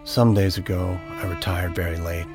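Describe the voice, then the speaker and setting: A man acts a weary, solemn president in an audio drama. His voice is deep and measured, delivered as a dramatic first-person monologue. Prominent music plays beneath him. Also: little pitch movement, natural voice. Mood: solemn, haunted. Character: solemn, weary American president recounting a dream. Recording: loud music under the voice.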